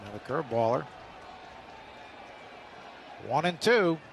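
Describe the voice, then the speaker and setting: Higher-pitched man calling baseball on radio. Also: sing-song, measured pace, some chatter behind. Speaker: baseball play-by-play announcer. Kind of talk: live radio sports commentary. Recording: faint stadium noise on radio broadcast. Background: outdoor ballpark ambience.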